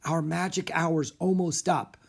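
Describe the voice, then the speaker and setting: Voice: mid-range voice, fairly even intonation, unhurried, vocal fry, husky, voice pushed up. A narrator voicing an earnest, practical young boy magician in a children's audiobook. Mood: worried.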